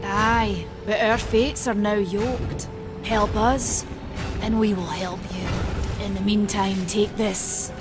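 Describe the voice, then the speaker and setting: A woman's voice: mid-range voice, fairly even intonation, slow pace, loud music behind the voice, natural voice. A woman playing a stern, proud forge Maker of an ancient race in a fantasy game, solemn and commanding, with old-fashioned wording. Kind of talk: dark fantasy game acting.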